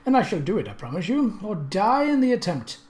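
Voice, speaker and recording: A narrator voicing a disdainful, earnest English gentleman motorist who lectures precisely, in a storybook reading. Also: mid-range voice, very animated, fairly quick, slight background noise, pitched above the performer's own voice, lightly acted. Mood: disdainful, determined. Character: tall thin English steam-car driver, disdainful and fervent.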